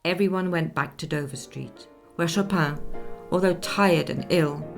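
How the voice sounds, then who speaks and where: A composed lady of the 19th century in a radio play. Her voice is low with a touch of gravel, and she recounts the past formally and with discretion. Music plays behind her. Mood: composed, sympathetic. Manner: measured, formal, genteel storytelling.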